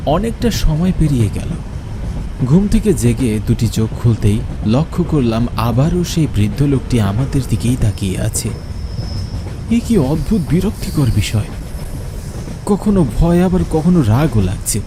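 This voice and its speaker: Expressive male horror narrator, lively pitch. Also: moderate pace. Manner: expressive, theatrical. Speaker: narrator and lead character. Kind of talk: dramatic first-person horror story narration. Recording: loud train noise behind.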